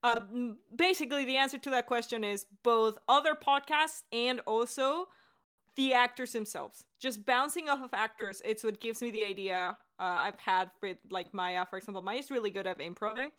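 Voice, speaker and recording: Enthusiastic woman with a higher-pitched voice. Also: normal speed, fairly bright tone. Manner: enthusiastic, informal, chatty. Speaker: podcast director and co-host. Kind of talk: casual creator Q&A.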